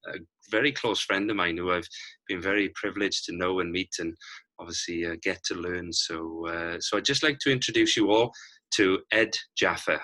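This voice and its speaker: A man's voice: low voice, normal speed, pushed and bright, low-quality recording. A man hosting a casual interview, encouraging and wordy, often repeating his phrases.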